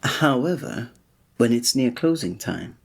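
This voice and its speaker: A man's voice: mid-range voice, sing-song, a little slow, vocal fry, full character voice. A man playing a flirtatious, courteous innkeeper in a fantasy village, speaking formally in a scripted ASMR roleplay addressed to the listener. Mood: hospitable, wry. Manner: formal, old-fashioned, teasing, suave diction.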